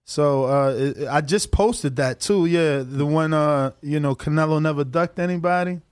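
High-voiced excitable man. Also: normal speed, clean tone, no echo, studio quality. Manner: excitable, swears freely, laughs often. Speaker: podcast co-host. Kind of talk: casual boxing chat.

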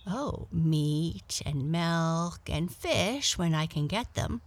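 Woman voicing a polite, lively storybook cat. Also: lowish voice, slow. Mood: earnest, kindly. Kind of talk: children's storybook character voice.

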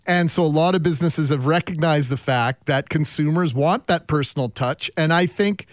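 A man's voice: fairly high pitch, moderate pace, dry recording, clean studio sound. A man co-hosting a morning radio show, speaking articulately about business and customer service. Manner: articulate, reads listener texts aloud. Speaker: radio co-host. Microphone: studio mic, separate room.

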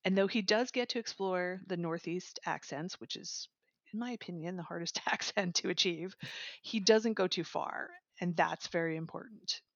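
A woman's voice: lowish voice, normal speed, dry recording. A woman reviewer in casual chat, summarizing a book enthusiastically and laughing now and then. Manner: enthusiastic, chatty, laughs while talking. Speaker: audiobook reviewer, guest. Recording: dry, slightly dull lo-fi sound.

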